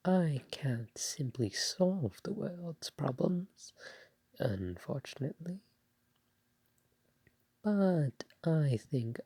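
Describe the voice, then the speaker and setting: A woman playing a bashful, doting god of love in an ASMR roleplay, speaking softly and breathily with a hesitant, tender, playful manner. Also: rumbling low, no room sound, heavily acted. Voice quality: soft, breathy, partly whispered. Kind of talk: ASMR roleplay spoken to the listener.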